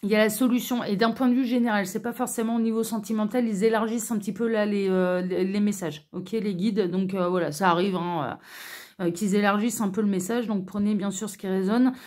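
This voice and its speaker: A woman's voice: mid-range voice, fairly even intonation, brisk, a little mellow. A woman hosting an online tarot reading, talking casually to viewers in a chatty, informal, hesitant way full of asides. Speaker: online tarot reader and channel host.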